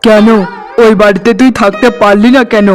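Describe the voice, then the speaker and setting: A stern but soft-hearted cartoon family man, acted by a man. His voice is high for a man and his delivery is emphatic and scolding. Music sits behind it. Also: fairly even intonation, brisk, natural voice. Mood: angry, scolding. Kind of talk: Bengali cartoon voice acting.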